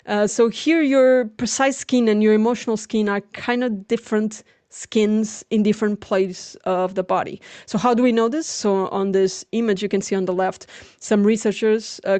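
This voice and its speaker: A woman's voice: medium pitch, darkish tone, no echo. A young adult woman with a Portuguese accent, a native Portuguese speaker, giving an informal academic lecture. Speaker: lecturer, PhD researcher.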